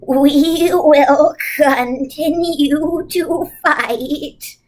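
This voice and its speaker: A commanding, otherworldly old woman from a children's storybook, acted out by a female reader. She speaks very slowly and solemnly, stretching each word into a weighty pronouncement. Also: sits high, voice pushed up. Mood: resolute. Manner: slow, drawn-out, echoing delivery of pronouncements.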